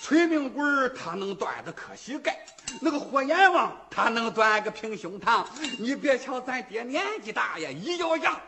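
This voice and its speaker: A man voicing a timid young man in a traditional story, eager to please and quick to take fright. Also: very high-pitched, sing-song, pushed and bright, heavily acted. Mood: explaining.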